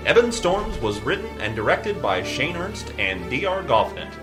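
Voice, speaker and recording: A man announces the credits of an audio drama in a fairly high voice. His intonation swings very widely and emphatically from phrase to phrase. Music plays clearly behind him. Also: sing-song, moderate pace.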